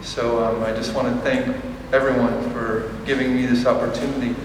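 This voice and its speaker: A man, an artist speaking at a press conference, halting with many ums and restarts. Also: mid-range voice, flat delivery, background noise. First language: English. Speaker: exhibiting artist. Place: large echoey museum hall. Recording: echoey hall, distant mic.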